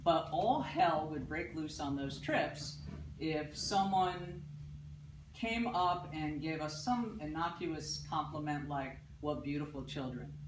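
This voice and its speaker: Loud woman from Paterson, New Jersey, spinning a live stage story; echoey hall, mic set back. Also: low voice, takes it slowly, noisy background. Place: theatre stage with live audience. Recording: roomy, distant mic, audible ambience.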